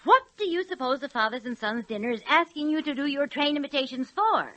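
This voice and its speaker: A scolding wife, high and piercingly bright, swoops through her lines with sharp scorn. She sounds thoroughly exasperated, in the manner of 1940s radio comedy. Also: lively intonation, fairly quick, highs cut off. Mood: scornful. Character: scolding, scornful middle-aged wife of a druggist.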